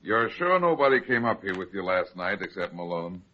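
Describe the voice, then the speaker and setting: An actor playing a hard-boiled, heavyset private detective, terse and deadpan, in old-time radio style. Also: male voice, low voice, fairly quick. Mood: grim, businesslike. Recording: dull, lo-fi old radio drama recording.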